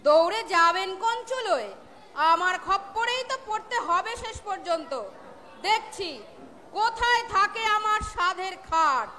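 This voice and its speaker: Comic audio play: sharp-tongued battleaxe wife, very high-pitched, in an echoing hall with a clearly audible audience. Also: female voice, expressive, unhurried, some chatter behind, heavily acted. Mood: menacing. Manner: scolding, threatening. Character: domineering, sharp-tongued, quarrelsome middle-aged wife. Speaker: amateur actor, schoolteacher. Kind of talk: staged comic Bengali audio drama. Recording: echoey hall, noisy audience.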